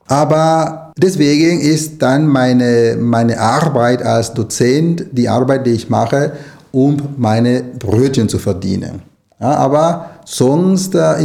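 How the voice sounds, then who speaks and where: Mellow middle-aged man. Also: mid-range voice, creaky. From Nicaragua. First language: Spanish. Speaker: interview guest, writer and language lecturer. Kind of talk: conversational interview answers.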